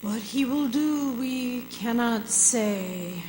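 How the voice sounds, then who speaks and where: A woman playing the calm, solemn ghost of a murder victim in a radio drama. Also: fairly high pitch, very slow. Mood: ominous. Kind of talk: old-time radio drama acting on stage. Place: performing arts center hall. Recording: faintly noisy stage recording.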